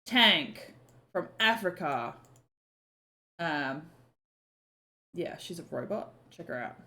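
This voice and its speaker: A young woman chats easily to her stream, her slightly croaky voice sitting a little low. She talks in loose, rambling runs full of filler words and the odd swear. Her pitch swings widely as she goes. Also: expressive, slow, sharp tone. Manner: chatty, lots of "like" and "um", swears casually. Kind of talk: casual chat on a live stream.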